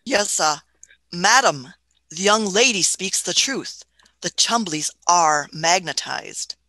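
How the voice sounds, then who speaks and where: A woman plays an elderly, curious adventurer for a radio play, with a bright, forward voice. The delivery swings up and down, full of fussy little hums and questions. The sound is dry and close. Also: lowish voice, expressive, slow, dry recording, full character voice, low-quality recording. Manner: hemming, questioning, punctuates with hmm and uh. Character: elderly Doctor, inquisitive, fussy time-travelling adventurer.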